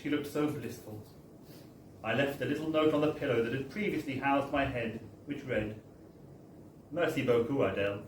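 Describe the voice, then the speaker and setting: A man's voice: medium pitch, moderate pace. Theatrical man reading aloud in a roomy venue. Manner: theatrical, wry, with emphatic tag phrases. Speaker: reader of a story at a book launch. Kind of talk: dramatic live reading of a comic first-person story. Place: bar, live event. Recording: roomy, distant live recording with background noise.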